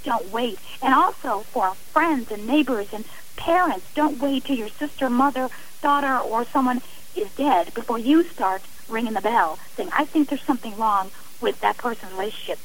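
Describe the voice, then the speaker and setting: A woman's voice: a little high, moderate pace, poor audio. A woman speaking earnestly and emphatically as a guest in a radio interview. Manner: emphatic, impassioned.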